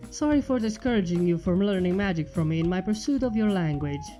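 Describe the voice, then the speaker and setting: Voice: medium pitch, expressive, fairly quick, mellow, soft background music, close to an everyday voice. A fan reader voicing an eager, scholarly female pony teacher who lectures patiently. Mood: earnest.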